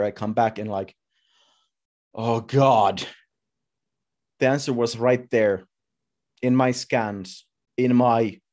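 A man in his thirties giving a personal talk as a speaker, telling his story in short, halting, deliberate phrases. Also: medium pitch, darkish tone. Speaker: conference speaker. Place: indoors at home, video call.

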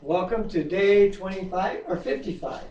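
A man's voice: high-pitched, darkish tone. An older man with a tenor voice, co-hosting a home Bible reading and singing a hymn at a keyboard. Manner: sings slowly while playing keyboard. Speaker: co-host reading scripture. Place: wood-paneled home dining room, indoors. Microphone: far camera. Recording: roomy, distant, dull home video.

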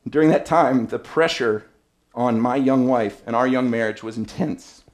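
Man, 30s, keynote speaker at a podium, earnest and measured, reads from notes. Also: mid-range voice, steady tone. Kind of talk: prepared speech read from notes. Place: banquet room with an audience.

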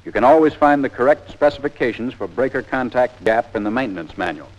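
A man's voice: medium pitch, fast. An American man narrating a scripted 1940s training film in a plain-spoken, methodical, folksy instructor's manner, on an old film soundtrack. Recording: dull, band-limited 1940s film soundtrack.

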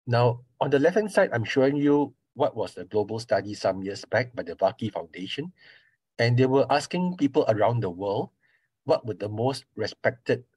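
A middle-aged man with a Singaporean accent, a surgery professor giving an online talk in a measured, explanatory way with frequent pauses. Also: mid-range voice, expressive, a little mellow, slightly rough, dry recording, poor audio. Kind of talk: online information session talk. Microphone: video call.

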